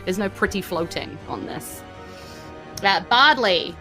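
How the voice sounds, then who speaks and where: A woman's voice: medium pitch, animated, a little slow, bright, forceful tone, faint music behind, low-quality recording. A woman with a New Zealand accent, casually narrating a tabletop game as game master in a playful, teasing way.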